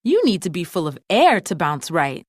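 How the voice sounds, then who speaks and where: A woman's voice: lowish voice, big pitch swings, normal speed, breathy edge, no room sound, clean studio sound. A woman voicing a caring, confident young girl who doctors toys in a storybook, explaining things reassuringly.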